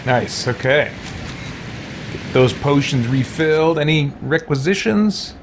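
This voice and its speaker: A man's voice: fairly high pitch, animated, slow pace, very noisy. A man giving casual gameplay commentary, thinking aloud as he plays a roleplaying video game. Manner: thinks aloud while playing.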